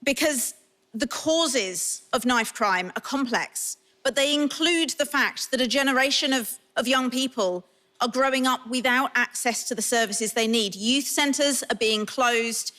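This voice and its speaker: A woman's voice: fairly high pitch, a little slow, sharp tone. A woman politician making her case in a televised political debate. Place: radio theatre stage.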